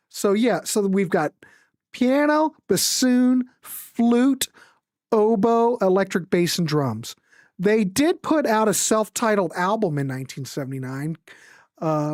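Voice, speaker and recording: A middle-aged or older woman hosting a music show, chatting casually and commenting in an animated, rambling, informal way. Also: medium pitch, no room sound, clean studio sound.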